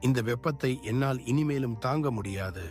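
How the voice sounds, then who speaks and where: A young village boy, voiced by a man complains in a whiny voice. The pitch sits mid-range for a man, and soft music runs beneath it. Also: moderate pace, natural voice. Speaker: voice actor. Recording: music bed behind.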